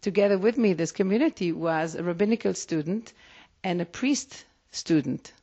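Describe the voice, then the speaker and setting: A woman's voice: fairly low pitch, normal speed, warm tone. A woman rabbi whose native language is Hebrew, speaking with an Israeli accent and answering interview questions in a reflective way, often restarting her sentences. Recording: dull lo-fi recording.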